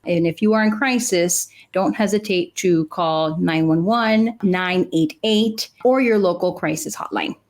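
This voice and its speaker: A woman's voice: on the low side, a little slow, hardly any pauses. A woman in her forties co-hosting a chat show, explaining with lots of "uh" fillers. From Cuban and Dominican background. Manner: many "uh" fillers, earnest explaining. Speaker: podcast co-host, clinical psychologist. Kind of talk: casual chat.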